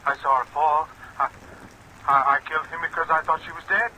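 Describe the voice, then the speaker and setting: An unhinged, shaken killer stammers in a fairly high, piercingly tense voice. It is old radio crime acting, with light rain over the recording. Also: male voice, little pitch movement, a little fast, pushed and bright, slight background noise, low-quality recording. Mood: stunned, remorseful. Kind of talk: mid-century American radio drama acting.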